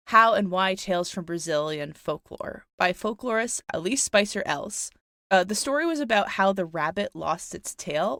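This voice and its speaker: A woman's voice: mid-range voice, creaky, a bit bright, no echo, studio quality. A woman hosting a podcast, moving between casual chat and folktale storytelling with light wry asides. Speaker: podcast host.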